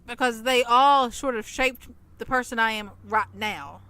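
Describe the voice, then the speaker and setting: Woman, thirties, podcast co-host, casual chat, animated storyteller, bright, smooth voice. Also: a little high, unhurried. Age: 30s. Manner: animated storytelling, acts out quoted people.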